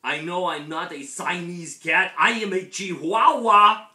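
A man voicing an excitable little kitten boy who plays a boastful Chihuahua hero, theatrical, with a put-on Spanish accent. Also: high-pitched, measured pace, reverberant, far from the mic, voice pushed up, heavily acted. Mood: proud. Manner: theatrical, declaiming and chanting.

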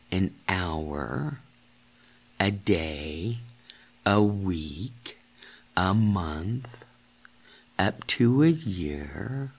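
Very slow, low-pitched, creaky man. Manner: deliberate, halting phrasing with repeated instructions. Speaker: guided imagery narrator. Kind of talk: slow guided meditation.

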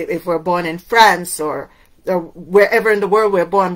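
A woman's voice: lowish voice, poor audio. A middle-aged woman from Cuba whose first language is Spanish, preaching a sermon to camera in an emphatic way, asking many rhetorical questions. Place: indoors in front of a waterfall backdrop.

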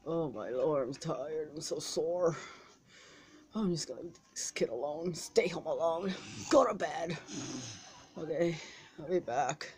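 Woman putting on a deep, weary grumpy grown-up. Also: measured pace, a little mellow, slight background noise. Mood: weary grumbling. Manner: sighing, grumbling, weary. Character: tired, grumpy adult leaving a kid home alone.

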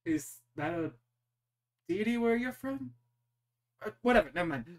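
An animated game master runs an improvised roleplay session in a mid-range voice that swings widely in pitch. The delivery is casual and excitable, moving between narration and table talk. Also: moderate pace. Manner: animated, prone to laughing and squeaking. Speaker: game master voicing characters.

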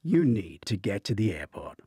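A man's voice: on the low side, very animated, normal speed, dark tone, husky, no echo, clean studio sound, heavily acted. A man voicing a stubborn, earnest tech colleague in an audiobook, defensive and matter-of-fact.

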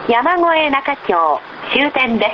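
A woman reading scripted bus stop announcements in a formal, measured, polite manner on a low-quality recording. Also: very animated, highs cut off. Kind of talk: scripted public transit announcement. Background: loud vehicle noise.

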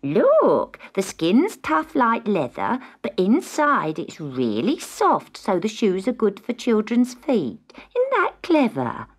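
A woman's voice: medium pitch, wildly swooping pitch, slow, few pauses, breathy edge, heavily acted. A woman voicing a proud, thrifty, chatty village mother in a storybook, with an English accent. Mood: pleased.

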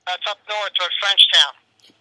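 A man's voice: sits high, normal speed, bright, forceful tone, no room sound, rough recording. A man talking casually on a telephone as a private seller, matter-of-fact and businesslike. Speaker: private seller taking a phone call. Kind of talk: casual phone conversation.

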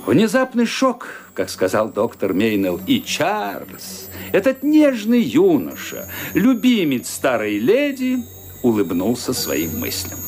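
A man's voice: mid-range voice, a little mellow. A man reading the narration of a radio play with theatrical expression.

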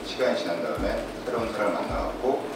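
A man's voice: on the low side, expressive, fast, background noise. A man in his thirties at a press event, talking earnestly into a handheld mic and chuckling as he explains. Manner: earnest, laughs while explaining. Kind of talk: press interview answers. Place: indoor press conference hall. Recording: echoey hall from far off.

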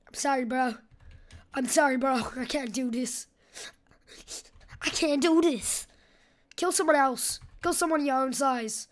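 A young woman with a scratchy voice giving casual, rambling, slangy gameplay commentary for a video. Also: high voice. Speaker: gaming video host.

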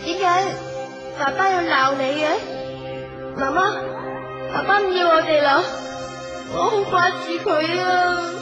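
A very high, plaintive little girl in a radio play. The sound is echoey and dull, with loud music underneath. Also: fairly even intonation, measured pace, long pauses. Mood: plaintive, sad. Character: small girl missing her daddy, plaintive. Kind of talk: Cantonese radio drama acting.

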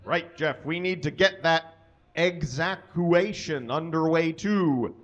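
A man reads a story aloud for comedy from a small stage, in a voice slightly higher than most men's. He delivers it deliberately, stumbling over errors as written. The live recording sounds somewhat dull and muffled. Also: fairly bright tone. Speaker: live reader. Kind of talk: comic dramatic reading of fan fiction to an audience. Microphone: stand microphone on stage, filmed from a distance.

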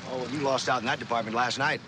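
A man's voice: medium pitch, steady tone, rapid-fire, lightly acted. A man playing a smug blackmailing spy in an old suspense drama, mocking and sardonic with theatrical emphasis. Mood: sardonic, taunting. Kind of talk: mid-century American TV suspense drama acting. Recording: noisy old TV soundtrack, outdoor engine noise.

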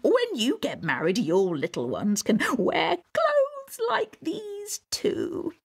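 Lady doing a gushy bossy old troll mum from a storybook, swoops all over, drawn-out words. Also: on the high side, wildly swooping pitch, breathy edge, full character voice. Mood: gushing. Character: doting, bossy old troll mother, small and ugly.

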